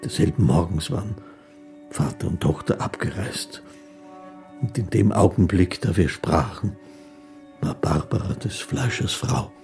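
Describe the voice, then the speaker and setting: A humble, melancholy street musician in a radio play. His very low voice has a gravelly creak as he tells his life story in a measured, reflective way. Music accompanies the narration. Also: lively intonation, a little mellow, heavily acted. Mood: sorrowful, resigned. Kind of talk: first-person narration in a literary radio play.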